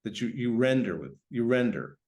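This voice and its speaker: A man speaking as a writer in a casual literary conversation, halting and reflective, repeating phrases as he thinks. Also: mid-range voice, sing-song, a little mellow. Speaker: writer, guest.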